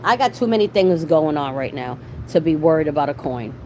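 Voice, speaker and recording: A middle-aged woman with a fairly low voice chats casually to her live viewers. She talks in a salty, slangy way and swears freely. There is clear background noise in the recording. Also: lively intonation, fairly quick. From Boston, USA. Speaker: livestream host.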